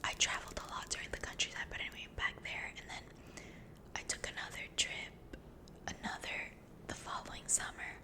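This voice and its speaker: A young woman whispering a rambling story close to the mic, softly repeating words to herself. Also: brisk. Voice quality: unvoiced whisper.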